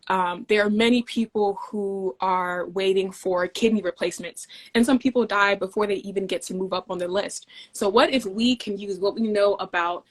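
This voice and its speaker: A young woman from Denmark, a guest in a relaxed interview, talks at an even middle pitch. Her delivery is measured and friendly as she walks through technical ideas step by step. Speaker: guest, engineer. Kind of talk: casual interview conversation.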